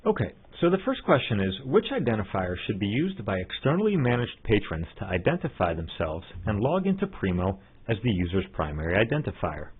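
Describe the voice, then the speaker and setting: A man giving scripted step-by-step tutorial narration as a software trainer, in an instructional manner. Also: fairly low pitch, big pitch swings, brisk, hardly any pauses, husky. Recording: muffled narrow phone-like line.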